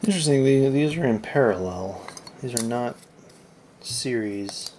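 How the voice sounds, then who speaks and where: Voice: mid-range voice, expressive, measured pace, warm tone. A host giving casual, off-the-cuff repair commentary, rambling and muttering while thinking aloud on a noisy recording. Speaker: video host repairing electronics.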